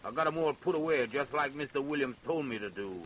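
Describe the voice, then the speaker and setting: An actor playing a crazed, sadistic farmhand, in 1930s radio drama style. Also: male voice, medium pitch, lively intonation, quick pace, a bit bright, slight background noise, lightly acted, low-quality recording. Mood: boastful, unrepentant. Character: crazed, sadistic young Southern farmhand confessing to killings. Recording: old radio drama recording.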